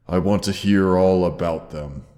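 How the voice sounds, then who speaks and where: A man voicing a gentle, caring old dragon in a roleplay audio drama, speaking formally and tenderly with dramatic pauses. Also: sits low. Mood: warmly proud. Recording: long cavernous reverb.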